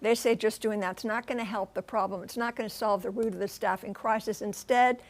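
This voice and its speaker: A woman's voice: mid-range voice, fairly even intonation, brisk. A woman working as a TV news correspondent, explaining things conversationally with emphasis and rhetorical questions. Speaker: news transportation correspondent and pilot.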